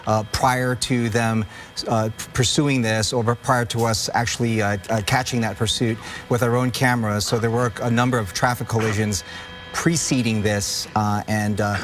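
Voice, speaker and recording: A mid-pitched American man talks as a news anchor during live coverage. He ad-libs with hesitant, restarted phrases, and music sits faintly underneath. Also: flat delivery, normal speed, a bit bright. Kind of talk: live breaking news commentary.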